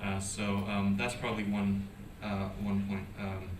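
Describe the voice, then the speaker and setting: A lower-voiced man talks on a panel in a conference hall, hesitant and full of fillers and restarts. He is picked up from a distance, with echo and noise around him. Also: monotone, measured pace. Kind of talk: panel discussion.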